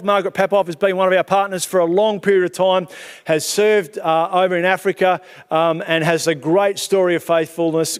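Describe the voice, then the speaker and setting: A man steps up in a church service to introduce the next guests, filling gaps with ums and uhs. His voice is high and smooth. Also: fairly bright tone. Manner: hesitant, with many ums and uhs. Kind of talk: church announcement. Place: church sanctuary.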